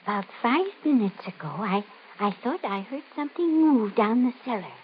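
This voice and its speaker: A woman's voice: fairly high pitch, lively intonation, moderate pace, close to an everyday voice. An actress playing an anxious, superstitious older woman in a fearful, breathless, hesitant 1950 radio mystery style. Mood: nervous. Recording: muffled, hissy old radio recording.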